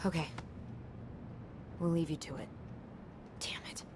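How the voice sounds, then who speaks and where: A sharp-tongued young woman in a narrative video game. She is skeptical and ready to confront, speaking naturally over clear background noise. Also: deep voice, steady tone, measured pace. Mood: sardonic, frustrated. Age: young adult.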